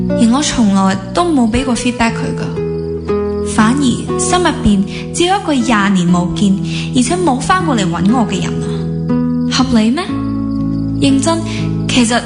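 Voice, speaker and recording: Prominent guitar music carries a young woman's inner monologue in a Hong Kong Cantonese radio drama. Her voice sits a little high, introspective and wounded. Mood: tender reflection, then self-reproach. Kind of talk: radio drama monologue, reflective scripted narration. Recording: music under the voice.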